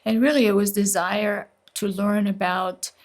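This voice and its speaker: A woman's voice: medium pitch, fairly even intonation, unhurried. A middle-aged woman from Slovenia, a neurologist guest telling her story earnestly and at length in an interview.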